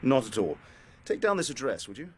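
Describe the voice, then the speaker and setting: An actor playing a polite, evasive British military officer in a radio drama, hesitant and officious. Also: male voice, medium pitch, sing-song, a little fast, a little hiss or hum, natural voice. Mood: brisk, helpful.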